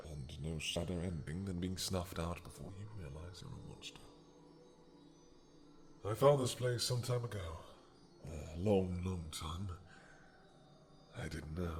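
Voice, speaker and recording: An ancient dragon king, brooding and full of regret, as a man acts him out, musing aloud in a low, deep voice. He speaks slowly and softly, sighing between thoughts, in an audio roleplay. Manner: slow, soft-spoken, musing with sighs.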